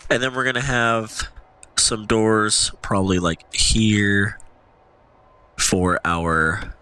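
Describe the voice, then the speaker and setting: A man's voice: lowish voice, steady tone, slow, bright, forceful tone, smooth. A man with a stuffy nose from allergies, casually commentating his gameplay as a channel host, rambling and thinking aloud.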